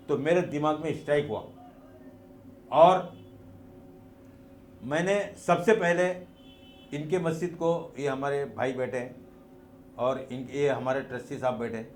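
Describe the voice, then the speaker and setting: A man's voice: on the high side, measured pace, long pauses, darkish tone, slight background noise. A man with a Mumbai Hindi accent making an emphatic explanatory religious argument, posing rhetorical questions and repeating his points. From India. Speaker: activist and petitioner giving a speech. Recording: echoey, roomy sound.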